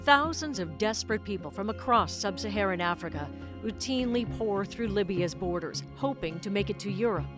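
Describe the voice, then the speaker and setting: Woman news anchor, measured delivery, mid-pitched, faint music under her. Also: lively intonation. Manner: measured, clear newsreader delivery. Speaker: news host. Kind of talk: news narration and interview questions.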